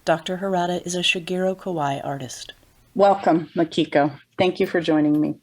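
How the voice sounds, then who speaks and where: A woman hosting an interview, first reading out a formal guest introduction and then asking questions in a measured, encouraging way. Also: lowish voice, fairly even intonation, darkish tone. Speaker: interview host.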